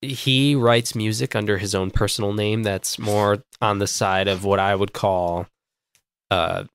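Congested male podcast host, low voice. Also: steady tone, a little slow, smooth. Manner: joking, rambling, stumbling over words. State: congested from a cold. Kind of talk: casual podcast chat.

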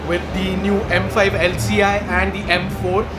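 A man's voice: sits high, level tone, moderate pace. A young man, vlogging casually and enthusiastically at an outdoor car show, talking into the camera with filler "uh"s. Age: young adult. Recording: loud music behind the voice.

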